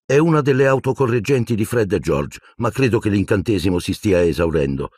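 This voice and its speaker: A man's voice: fairly low pitch, animated, brisk, a little mellow, breathy edge, no echo, pitched above the performer's own voice. A man voicing a grumbling, clumsy teenage schoolboy in an audiobook.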